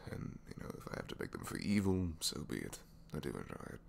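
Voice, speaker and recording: A man's voice: extremely low pitch, big pitch swings, brisk, vocal fry, a little mellow, slight background noise, heavily acted. A man playing a reluctant, secretly kind supervillain inventor in an ASMR roleplay, hesitant, wry and self-deprecating, rambling and sometimes dropping toward a whisper. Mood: weary, wry. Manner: hesitant, wry, rambling, trailing tag questions, sighs, drops to whispers. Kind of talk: ASMR roleplay audio drama, one-sided conversation.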